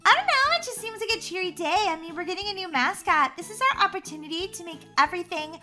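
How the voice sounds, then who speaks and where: A bubbly teenage cheerleader played by a woman gushes in a high, swooping voice. She is girly and perky, in a cartoon skit with music behind. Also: lively intonation, fast talker, bright, forceful tone, exaggerated voice. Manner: gushing, bubbly.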